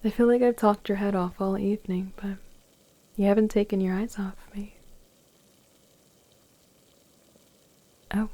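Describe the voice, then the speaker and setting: A woman playing a gently flirtatious, affectionate woman in her forties in an intimate ASMR roleplay, speaking softly and breathily, often near a whisper, without hurry. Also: mid-range voice, fairly even intonation, fairly quick, lightly acted. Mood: warm, relaxed. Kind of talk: ASMR romantic roleplay, intimate one-sided dialogue.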